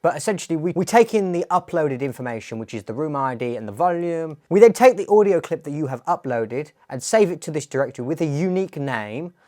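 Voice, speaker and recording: A man's voice: a little high, expressive, fairly quick, few pauses, dark tone. A man presenting a tech tutorial, explaining a demo in a plain-spoken, step-by-step way.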